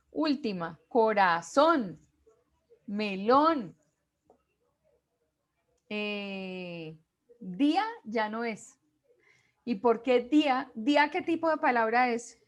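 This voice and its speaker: Woman, teacher, classroom lecture, high-ish voice, explains step by step, asks quiz questions.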